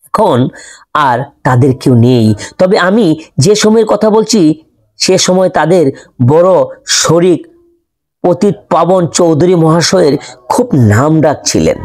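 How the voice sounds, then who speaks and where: A man with a slightly high voice narrates a classic ghost story. He reads literary prose in the scripted style of an audiobook. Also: animated, takes it slowly, long pauses, a little mellow.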